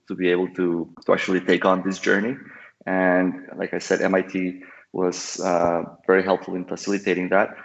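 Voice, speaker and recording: Deep flat-voiced man. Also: creaky, highs cut off. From Costa Rica. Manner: thoughtful, many fillers and restarts. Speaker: guest entrepreneur. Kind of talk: casual interview talk. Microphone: call audio.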